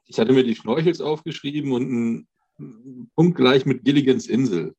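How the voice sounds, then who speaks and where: A man's voice: mid-range voice, moderate pace, mellow, slightly rough. A man chatting casually as a panelist on a podcast. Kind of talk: casual chat.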